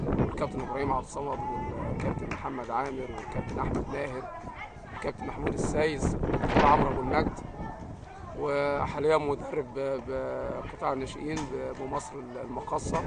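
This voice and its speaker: A man in his thirties with an Egyptian Arabic accent, a youth football coach speaking haltingly outdoors on camera. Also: medium pitch, gravelly. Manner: halting, many fillers and restarts. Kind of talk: on-camera interview. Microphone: handheld camera close-up. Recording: loud background noise.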